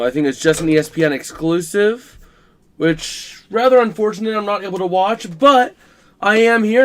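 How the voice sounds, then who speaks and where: A man hosting a casual live stream about a college football game, his voice scratchy and rough, chatting to viewers with plenty of fillers. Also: high voice. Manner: chatty, filler-heavy, talks to chat while working the stream.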